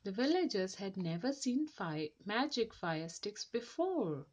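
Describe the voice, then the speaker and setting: A woman reading a children's story aloud in a careful, deliberate and expressive way. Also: medium pitch. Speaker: storybook reader.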